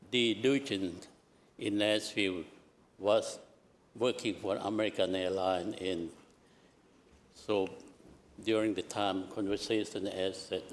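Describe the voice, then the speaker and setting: A man's voice: fairly low pitch, slight background noise. An older man from southern Laos with a Lao accent, speaking hesitantly in farewell remarks at a public meeting. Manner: halting, hesitant, restarts phrases. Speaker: retiring commissioner. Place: council meeting chamber.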